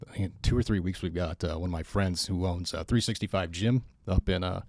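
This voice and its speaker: A man's voice: sits low, fairly quick, darkish tone, no echo, clean studio sound. A man who teaches personal training, chatting casually on a podcast in a chatty, self-deprecating way with many restarts.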